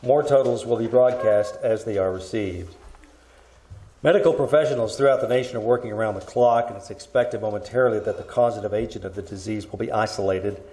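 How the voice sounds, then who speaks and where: An actor playing a sober male newscaster in an old-time radio drama, reading in a formal, measured, official way. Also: on the low side, mellow, natural voice. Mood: sober, urgent. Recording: roomy live stage sound.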